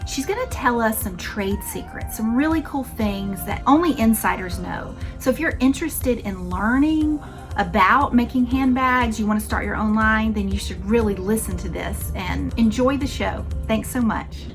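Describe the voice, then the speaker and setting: A woman's voice: fairly high pitch, normal speed, music in the background. A woman hosting an interview show, introducing her guest with enthusiasm and asking questions. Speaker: interview host. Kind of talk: upbeat show host.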